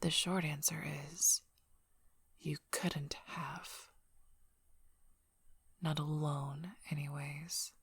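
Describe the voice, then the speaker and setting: ASMR roleplay role: protective alpha werewolf female, woman performer, husky and unhurried, quietly threatening, close dry mic. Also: low voice, fairly even intonation, no room sound, heavily acted. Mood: accusing, skeptical. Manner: slow, menacing, suspicious, often breathy whispering.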